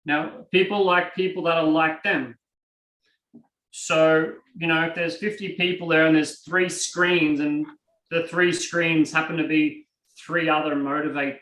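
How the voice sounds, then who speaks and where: A man with an Australian accent teaching a live online coaching class in a lively, conversational way and acting out examples. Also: a little high, distant mic. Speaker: NLP trainer. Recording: roomy and from off the mic.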